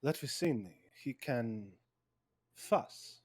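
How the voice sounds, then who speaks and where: A man playing a calm, loyal, wry Spanish swordsman in a table read. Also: medium pitch, takes it slowly, husky, dry recording, studio quality.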